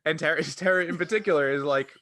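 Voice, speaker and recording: A man co-hosting a casual panel chat as a game master, talking in an animated way and often laughing as he speaks. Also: on the high side, fast talker, no room sound. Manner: animated, laughs as they talk. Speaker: podcast co-host and tabletop game master.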